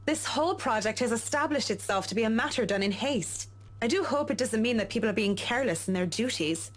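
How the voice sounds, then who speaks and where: Stern, higher-voiced professional woman. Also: animated, brisk. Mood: curt, stern. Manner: formal, clipped, stern. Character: no-nonsense, exacting professional woman, curt and cool. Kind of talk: visual novel game voice acting.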